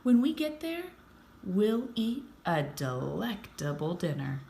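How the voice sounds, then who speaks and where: A woman's voice: mid-range voice, very animated, slow pace, dark tone, voice pushed up. A woman playing a female deer ship captain in a storybook, genteel and earnest. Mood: eager, welcoming. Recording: roomy echo, faint background noise.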